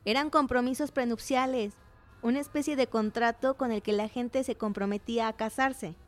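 An actress voicing a knowledgeable historian in a radio drama, explaining things in an articulate, didactic way. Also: female voice, a little high, steady tone, normal speed, soft background music, lightly acted. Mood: informative.

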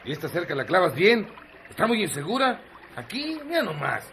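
A man's voice: high voice, wildly swooping pitch, moderate pace, fairly bright tone, slight background noise, heavily acted. A man playing a bossy countryman in a radio drama, brusque and fault-finding as he gives orders. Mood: bossy, critical. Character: bossy, gruff countryman giving orders in a yard.

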